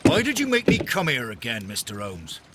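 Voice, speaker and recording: A man's voice: medium pitch, huge pitch swings, normal speed, heavy background noise. A man playing a passionate, defiant, lovelorn Victorian sea captain in a video game, with an impassioned, melodramatic delivery. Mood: subdued, wary. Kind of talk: dramatic video game acting. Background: music.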